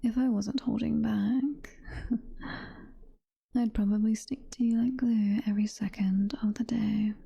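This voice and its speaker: A woman playing a doting, possessive demon mommy girlfriend in an ASMR roleplay, whispering softly and tenderly with a British accent. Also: fairly high pitch, fairly even intonation, no echo, heavily acted. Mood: playful, hopeful. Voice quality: breathy, soft-spoken whisper. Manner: slow, tender, coaxing. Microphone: close ASMR microphone.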